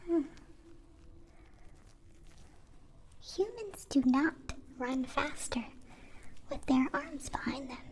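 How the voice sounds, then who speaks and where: A woman voicing a gentle female alien in an ASMR roleplay, whispering softly and breathily with stilted, formal, precise phrasing. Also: high-pitched, sing-song, a little slow, a little hiss or hum, heavily acted. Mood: curious, matter-of-fact. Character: gentle captive-born female alien caring for a wounded human.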